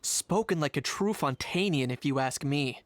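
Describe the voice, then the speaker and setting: An actor playing a cool, unsentimental young man in a game, speaking in a dry, measured, deadpan way. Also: a little high, lightly acted. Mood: cool disbelief. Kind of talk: game voice acting. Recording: music behind the voice.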